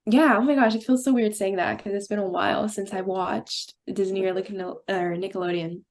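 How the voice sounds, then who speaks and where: A woman's voice: medium pitch, fairly even intonation, fairly quick. Giggly teenage girl from Brazil. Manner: bubbly, giggly, hesitant with fillers. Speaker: guest actress. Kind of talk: casual interview answers.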